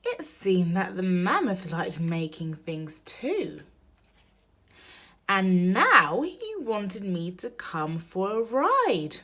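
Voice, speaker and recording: A woman reading a children's picture book aloud in an expressive storytelling manner meant for young listeners. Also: medium pitch, very slow. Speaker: storybook reader.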